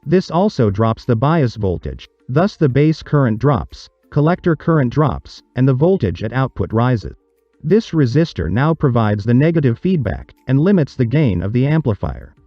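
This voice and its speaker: A man reading a scripted explanatory narration for a tutorial, in a measured, didactic, step-by-step manner. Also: medium pitch, sing-song, dry recording, dull audio, soft background music.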